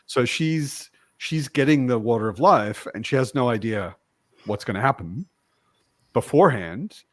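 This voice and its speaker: A man's voice: medium pitch, expressive, a little slow, dry recording, studio quality. A man talking casually as a participant in a book discussion.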